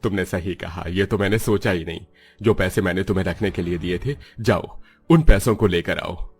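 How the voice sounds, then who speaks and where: Casting: male performer as weary, kindly village labourer dad for a cartoon, low-pitched voice. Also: normal speed, husky, faint music behind. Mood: concerned. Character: tired poor village father, a kindly labourer. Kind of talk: Hindi cartoon dialogue.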